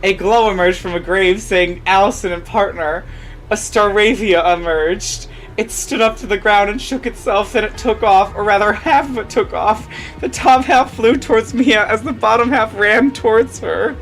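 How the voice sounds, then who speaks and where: A woman's voice: mid-range voice, fairly even intonation, normal speed, faint music behind, rough recording. A woman reading fan fiction aloud as a dramatic story narrator.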